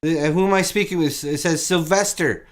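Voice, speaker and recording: A man's voice: a little high, a little fast. A man hosting a comedic public-access call-in show, offhand and fumbling, often echoing what his callers say. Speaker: host.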